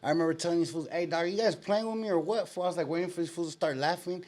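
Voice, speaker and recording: A man's voice: a little high, racing. Man telling stories in street slang. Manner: street slang, frequent swearing, animated retelling. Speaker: show host. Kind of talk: casual live storytelling and chat.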